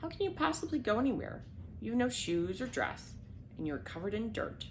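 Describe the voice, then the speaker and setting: A woman's voice: mid-range voice, lively intonation, a little fast, slight background noise, close to an everyday voice. A woman voicing a cruel, scornful storybook stepmother with a haughty, dismissive way of talking.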